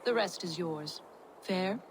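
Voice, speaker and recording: A woman's voice: on the low side, slow. Scornful, shrewd woman, noisy background. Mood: scornful, businesslike. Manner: blunt, mocking. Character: scornful, shrewd, self-serving woman bargaining with a god. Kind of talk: video game cutscene dramatic acting.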